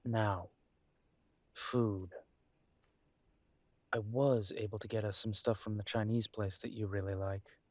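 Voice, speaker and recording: A man's voice: fairly low pitch, steady tone, slow, pauses often, no echo. A man voicing a grumbling but affectionate werewolf detective in an intimate audio roleplay, wry and fondly teasing. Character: gruff, affectionate male werewolf detective, grumbling city dweller.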